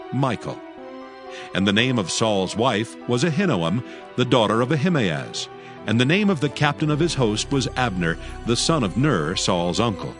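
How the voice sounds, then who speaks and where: A man narrating dramatized scripture in a solemn, formal storytelling style. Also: mid-range voice. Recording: music behind the voice.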